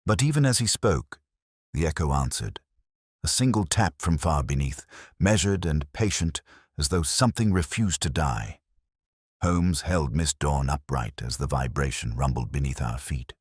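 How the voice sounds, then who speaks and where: Very deep-voiced dramatic male narrator. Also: animated, moderate pace, slightly rough, no echo, clean studio sound. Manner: dramatic, grave, suspenseful. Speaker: story narrator. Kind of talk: scripted first-person mystery narration.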